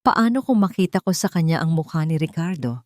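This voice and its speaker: A woman's voice: deep voice, expressive, a little fast, a little mellow, no room sound, studio quality. A woman narrator voicing a wounded, soul-searching woman in a story, earnest and confiding. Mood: fearful.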